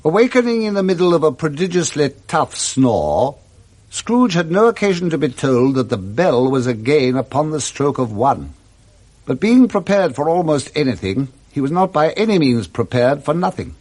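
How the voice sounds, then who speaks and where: A man's voice: a little high, big pitch swings, moderate pace, darkish tone. A man with an English accent narrating expressively and theatrically in a scripted radio drama.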